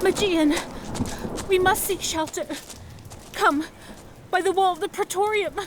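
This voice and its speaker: An actress playing a desperate, devout young merchant's daughter in an audio drama, earnest and imploring. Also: female voice, very high-pitched, measured pace, background noise. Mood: urgent, firm. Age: young adult.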